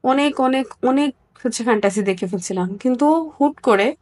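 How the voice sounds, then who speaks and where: A woman with a Bangladeshi accent speaks as an interview guest. Her voice is on the high side, and she talks candidly and reflectively, often repeating a phrase to stress it. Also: normal speed, a little mellow. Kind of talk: personal, conversational interview answers.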